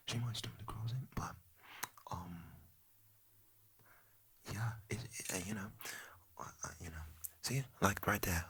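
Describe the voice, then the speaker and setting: Young male ASMR creator, put-on British accent, soft rambling whisper, low voice with a bright edge. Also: slight background noise.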